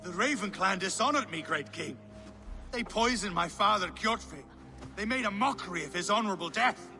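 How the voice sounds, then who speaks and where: An actor playing a brash, aggrieved young Norse warrior villain in a video game, declaiming in an accusing way. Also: male voice, high voice, lively intonation, moderate pace, sharp tone, faint music behind, exaggerated voice. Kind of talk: video game cinematic acting. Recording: distant voice in reverberant hall.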